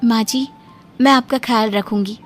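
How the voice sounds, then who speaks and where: A young new bride from a cartoon, sweet and eager to please her in-laws. Her voice sits somewhat high, played by a woman. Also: fairly even intonation, normal speed, faint music behind, close to an everyday voice. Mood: reassuring. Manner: polite, eager. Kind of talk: cartoon voice acting.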